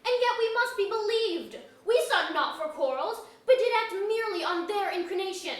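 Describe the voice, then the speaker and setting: Woman acting a very high, defiant wronged commoner. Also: expressive, moderate pace. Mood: pleading. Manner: declamatory, impassioned. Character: aggrieved, defiant commoner pleading, then cursing the crown. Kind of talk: staged reading of pseudo-Elizabethan verse drama. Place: museum auditorium, live reading. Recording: echoey auditorium, distant mic.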